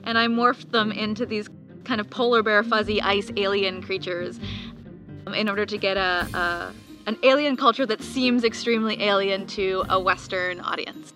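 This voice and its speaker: A woman author talking conversationally and earnestly about her own work, articulate in her phrasing. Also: medium pitch. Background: background music.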